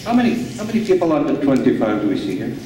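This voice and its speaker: A man from Ukraine asking a question from the audience floor, halting and searching for words. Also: mid-range voice, big pitch swings, mellow, a little hiss or hum. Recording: echoey hall, voice far from mic.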